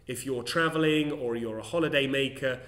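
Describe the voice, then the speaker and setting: A man speaks to camera in a measured, articulate way, his phrasing careful and a little formal. His voice sits at an ordinary middle pitch for a man. The room gives the recording a noticeable echo. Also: fairly bright tone. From England. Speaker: conference interpreter and football commentator. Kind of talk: explanatory solo vlog monologue. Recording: roomy echo.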